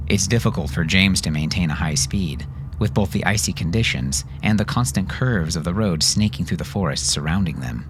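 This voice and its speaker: A man's voice: deep voice, background noise. A man narrating scripted fiction dramatically, as an audiobook narrator.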